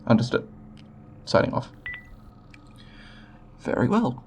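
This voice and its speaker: A man playing a timid, scholarly alien researcher in an ASMR roleplay, speaking haltingly and politely in formal scientific language with a creaky voice. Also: a little mellow, slight background noise, lightly acted. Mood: formal, businesslike. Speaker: roleplay voice performer.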